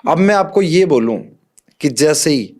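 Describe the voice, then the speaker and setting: A man's voice: on the high side, animated, a little slow, a little mellow. A man speaking as a police officer guest in an interview, explaining things step by step with direct examples to the listener.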